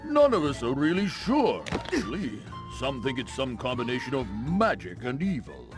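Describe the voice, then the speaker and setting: Pompous chief, video game cutscene, deadpan asides, very animated delivery, music behind. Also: male voice, medium pitch, normal speed. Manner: grand announcements undercut by deadpan asides.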